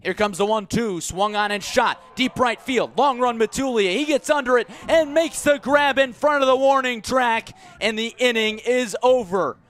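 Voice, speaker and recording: A man doing live radio baseball play-by-play as the announcer, with excited calls on the big plays. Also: very high voice, normal speed, few pauses. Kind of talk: live sports commentary.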